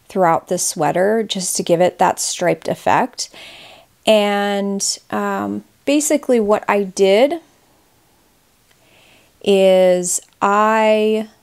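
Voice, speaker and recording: Slow, chatty woman knitting vlogger. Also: medium pitch. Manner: chatty, rambling, with frequent restarts and soft laughs. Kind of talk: casual chat about knitting.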